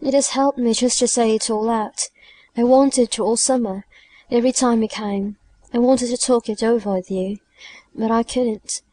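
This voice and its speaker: Woman voicing a soft, frightened dying young woman. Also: on the high side, steady tone, lightly acted. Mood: confiding, fearful. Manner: halting, plaintive. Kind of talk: audiobook character dialogue.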